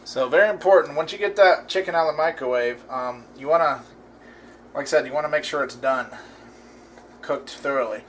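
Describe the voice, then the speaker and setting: A man hosting a casual home cooking demo in his kitchen, talking in a relaxed, rambling way with lots of fillers and restarts. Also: on the high side, brisk, a little hiss or hum. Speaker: cooking channel host.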